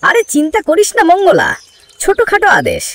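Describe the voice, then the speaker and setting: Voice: extremely high pitch, wildly swooping pitch, a little fast, no room sound, heavily acted. An actor plays a crafty male fox from a children's cartoon. The delivery swoops dramatically up and down, wheedling and falsely sweet, with theatrical mock friendliness. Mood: slyly challenging. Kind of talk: children's cartoon story dialogue.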